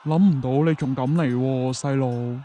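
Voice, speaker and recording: Man acting a smug, dark-toned villain king. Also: mid-range voice, moderate pace, natural voice. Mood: gloating, mocking. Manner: mocking, theatrical. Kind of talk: Chinese radio drama acting.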